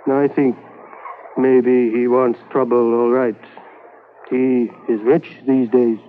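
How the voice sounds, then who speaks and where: A man's voice: mid-range voice, flat delivery, natural voice. A man playing a wise old fisherman of the reserve. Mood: friendly, confiding. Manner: slow, simple broken English. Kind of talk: 1950s radio drama. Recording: tinny lo-fi old radio broadcast with hiss.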